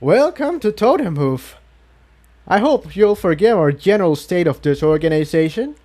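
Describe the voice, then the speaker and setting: A man's voice: high voice, sing-song, normal speed, mellow, voice pushed up. A man voicing a polite, apologetic stallion guide in a storybook, courteous and welcoming. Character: polite, apologetic male pony town guide. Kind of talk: storybook character voice.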